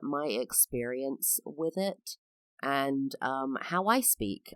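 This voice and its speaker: A woman's voice: extremely low pitch, dry recording. A woman from England hosting a solo podcast, talking in a personal, explanatory way with frequent fillers. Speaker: podcast host.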